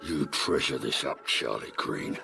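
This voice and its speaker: An actor playing a menacing, suspicious shopkeeper in a period audio drama, curt and domineering. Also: male voice, extremely low pitch, animated, a little slow, gravelly, exaggerated voice. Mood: threatening. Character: menacing, suspicious male barber shopkeeper hiring a boy.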